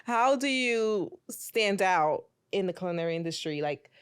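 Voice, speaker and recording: A woman's voice: on the low side, lively intonation, unhurried, clean tone, no room sound, clean studio sound. A woman hosting a podcast, chatting casually with her guest and affirming her often. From a Caribbean island. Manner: chatty, frequent affirming interjections. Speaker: podcast host.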